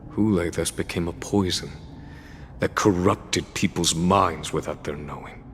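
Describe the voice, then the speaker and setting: An actor playing a composed, wise military general who explains matters in a measured, reflective way, in a game cutscene. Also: male voice, low voice, a little hiss or hum. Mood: calm, explaining. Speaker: army general. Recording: reverb with background music.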